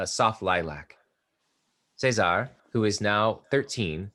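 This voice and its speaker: Very deep-voiced male stage-direction narrator. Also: a bit bright. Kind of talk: scripted narration.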